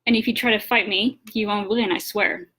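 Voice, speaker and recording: A woman's voice: medium pitch, steady tone, brisk, sharp tone, breathy edge, low-quality recording. A woman voicing a tough, impatient undercover shapeshifter in a story, blunt and commanding. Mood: warning.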